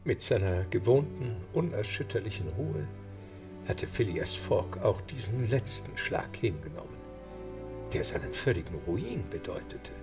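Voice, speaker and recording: Animated, gravelly male storyteller over music. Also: on the low side, measured pace. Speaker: narrator. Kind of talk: dramatic scripted storytelling narration. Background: background music.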